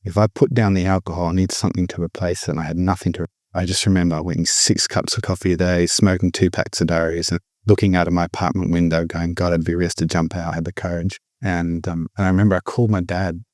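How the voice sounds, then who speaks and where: A man's voice: sits low, little pitch movement, quick pace, few pauses, a little mellow, no room sound, clean studio sound. A middle-aged man, candidly telling his personal recovery story in a reflective way. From born in the Philippines, raised abroad. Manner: reflective, candid, with frequent restarts. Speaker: guest sharing recovery story.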